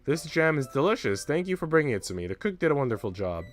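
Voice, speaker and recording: A man's voice: a little high, big pitch swings, fast, faint music behind. A man voicing a sweet, earnest young Swiss maid from a visual novel in a wistful manner.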